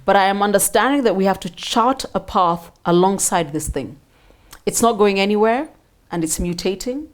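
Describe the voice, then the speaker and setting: A woman's voice: medium pitch, animated, normal speed. A woman with a Kenyan accent hosts a conversational interview, interjecting readily and repeating words for emphasis. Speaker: interview host.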